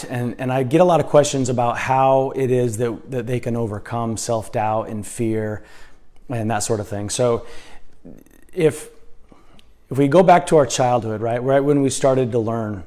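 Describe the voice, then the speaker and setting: A hoarse man talking earnestly as a life coach in a casual vlog, indoors in a slightly echoey room, with frequent fillers and restarts. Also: medium pitch, darkish tone.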